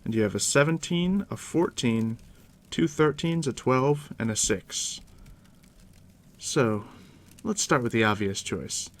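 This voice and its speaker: A man doing a soft, deep-whispered ASMR roleplay as a game master, unhurried and reassuring, speaking directly to the listener up close. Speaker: game master guiding a new player.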